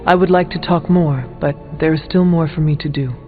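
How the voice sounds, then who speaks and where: Earnest male warrior confidant, male actor, careful formal diction, slightly high pitch, game dialogue with music underneath. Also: fairly even intonation, brisk, close to an everyday voice. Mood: sympathetic. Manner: formal, careful, avoids contractions. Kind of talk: video game character dialogue. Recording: muffled phone-like band, music behind.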